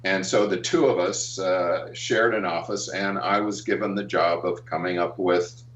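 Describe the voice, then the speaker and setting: An older American man reminiscing in a casual interview, speaking haltingly with frequent pauses and ums. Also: low voice, fairly even intonation, fairly bright tone. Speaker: interview guest, animation artist and art director. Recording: roomy, dull, distant call-like audio.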